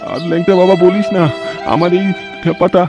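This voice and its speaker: A man's voice: high-pitched, little pitch movement, a little fast, vocal fry. A man voicing a bossy, complaining older village family man in a comic cartoon, on a dull track with music. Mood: grumbling, bossy.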